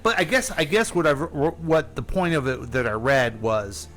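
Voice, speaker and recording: A man's voice: on the high side, moderate pace, a bit bright, soft background music. A man hosting a radio interview as a casual chat, asking questions in an informal, inquisitive way.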